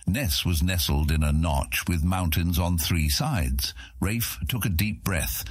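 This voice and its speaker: A man reads a fantasy story in a very deep, husky voice. His measured, dramatic delivery moves expressively up and down in pitch. The sound is close and dry, as in an audiobook. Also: dry recording. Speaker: audiobook narrator. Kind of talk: scripted fantasy story narration.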